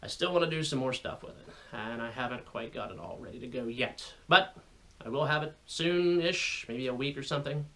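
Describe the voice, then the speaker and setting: Male hobbyist vlogger, American, mid-pitched voice, folksy and colloquial, explains technical things informally. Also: fairly quick, bright, forceful tone. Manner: folksy, plain-spoken, colloquial. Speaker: radio hobbyist video host.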